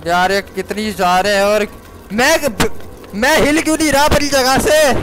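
A man's voice: extremely high pitch, little pitch movement, moderate pace, low-quality recording. A man giving live gameplay commentary, exclaiming and talking to himself and his viewers. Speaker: game streamer.

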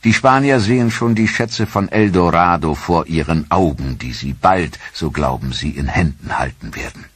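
A man narrating a scripted historical documentary in a measured, dramatic storytelling manner. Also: low voice, husky, dry recording.

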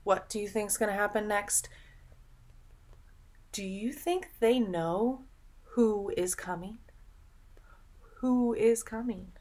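A young woman reading a picture book aloud to children in an expressive, dramatic way, pausing to ask the listener questions. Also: medium pitch. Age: young adult. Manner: dramatic, drawn-out delivery with gasps and prompting questions. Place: small room at home, indoors.

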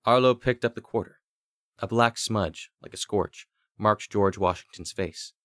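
A man narrating a scripted fantasy audiobook with deliberate, dramatic storytelling. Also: lowish voice, fairly bright tone, dry recording, clean studio sound.

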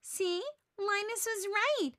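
A woman's voice: very high voice, slow, pushed and bright, pitched above the performer's own voice, exaggerated voice. A woman voicing an indignant little girl from a storybook, scolding and pointed. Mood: smug.

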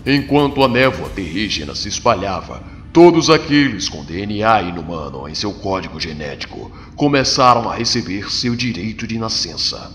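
A man's voice: fairly low pitch, very animated, moderate pace, hardly any pauses, fairly bright tone. A man with a Brazilian accent narrating a comic story in a dramatic, scripted style, with long reverb. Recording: long reverb, music behind. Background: music.

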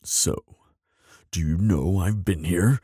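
A man voicing a proud, grumpy but soft-hearted bogeyman in an audio roleplay, with a gravelly rasp and a pompous, easily flustered delivery. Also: low-pitched, slow, no echo, studio quality, full character voice. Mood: flustered, indignant. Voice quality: raspy, gravelly. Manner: pompous, flustered stammering, dramatic.

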